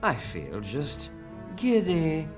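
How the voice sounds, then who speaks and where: Slow, exasperated sarcastic shopkeeper. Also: male voice, fairly high pitch, over music, exaggerated voice. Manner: deadpan, sighing sarcasm, drawn out. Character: exasperated, long-suffering shopkeeper, dryly sarcastic. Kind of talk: video game voice acting.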